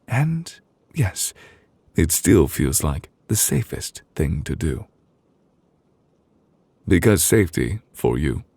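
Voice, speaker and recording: Casting: male actor for a calm, reassuring male lover in ASMR roleplay, extremely deep voice, gravelly fry, tender and protective. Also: dry recording, exaggerated voice. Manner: slow, soothing, deliberate with soft pauses. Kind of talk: intimate ASMR roleplay, affirmations. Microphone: close, dry studio microphone.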